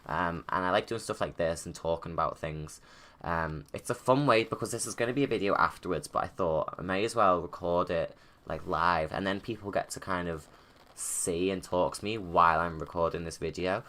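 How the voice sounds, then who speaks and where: Low-pitched man, bright voice, casual rambling commentary. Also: normal speed. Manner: informal, rambling, thinking aloud. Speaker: video creator giving commentary.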